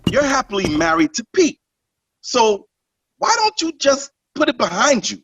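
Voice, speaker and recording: A man's voice: very high-pitched, big pitch swings, normal speed, lo-fi sound, background noise. An actor playing a cocky, defensive young male singer in a radio drama.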